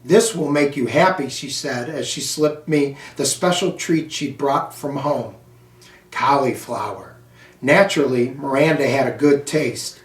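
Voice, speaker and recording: An American man reading a children's storybook aloud in a deliberate, phrase-by-phrase way. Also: medium pitch. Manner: slow, deliberate, phrase-by-phrase delivery. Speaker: school superintendent reading aloud. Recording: echoey room, distant microphone.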